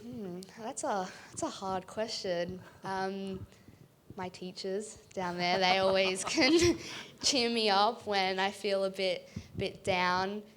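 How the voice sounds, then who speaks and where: A woman's voice: medium pitch, slow, fairly bright tone, slight background noise. A young Australian woman, a student on a discussion panel, speaking earnestly and thoughtfully into a handheld mic in a large auditorium. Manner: earnest, thoughtful, with many ums.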